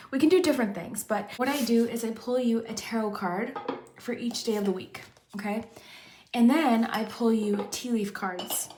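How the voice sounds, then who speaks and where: A woman hosting a pick-a-card tarot reading, chatty and rambling, and a little hoarse from losing her voice. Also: on the high side, a little mellow, a little hiss or hum. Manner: chatty, rambling, frequent "kind of" and restarts. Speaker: tarot reader, channel host. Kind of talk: casual pick-a-card tarot chat to camera.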